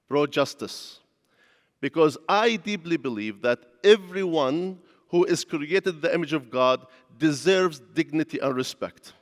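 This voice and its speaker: Palestinian-born pastor lecturing in a hall. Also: male voice, on the high side. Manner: earnest, joking asides. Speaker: pastor and church executive. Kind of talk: public lecture. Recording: echoey hall from far off.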